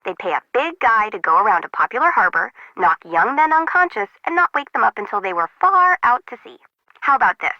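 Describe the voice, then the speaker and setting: A woman's voice: fairly high pitch, big pitch swings, fairly quick, dry recording, dull audio. An actress playing a chatty, disorganized, slangy young female harbor worker in a video game, bubbly and wry. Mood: enthusiastic explaining.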